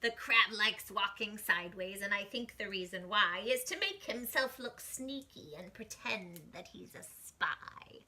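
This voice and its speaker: A woman hosting children's storytime, reading a rhyming picture book aloud in an animated, expressive way. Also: mid-range voice, measured pace, few pauses. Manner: animated, exclaiming, dramatic hushes.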